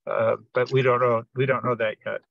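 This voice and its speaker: A man giving a technical explanation on a group teleconference, speaking haltingly and often repeating words as he goes. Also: medium pitch, flat delivery, creaky, dry recording. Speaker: project participant giving an update. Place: remote teleconference. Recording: dull, dry lo-fi conference-call audio.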